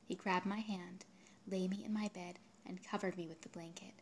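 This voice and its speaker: A woman reading literary fiction aloud in a dramatic, expressive way, at times hushed. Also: fairly low pitch, moderate pace, slight background noise. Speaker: translator reading their own literary translation.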